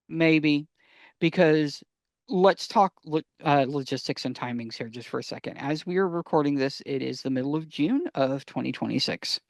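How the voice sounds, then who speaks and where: A man's voice: a little high, clear, resonant tone, no echo, clean studio sound. A man co-hosting a casual podcast, explaining things emphatically and often posing questions that he answers himself. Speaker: podcast co-host.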